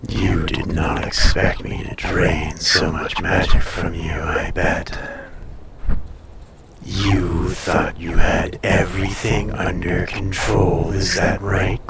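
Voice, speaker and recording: Man voicing a deep, slow, seductive ancient dragon. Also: steady tone, over a noisy crowd, exaggerated voice. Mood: condescending, teasing. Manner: unhurried, teasing, condescending, often breathy and hushed. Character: ancient male dragon, proud, seductive, teasing, dangerous. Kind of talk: slow intimate roleplay audio acting.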